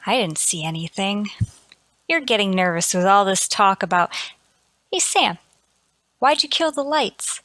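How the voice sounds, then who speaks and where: A woman's voice: medium pitch, lively intonation, unhurried, pauses often, a bit bright, no echo, rough recording. A woman playing a brash, wisecracking friend in an old-time radio mystery. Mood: puzzled.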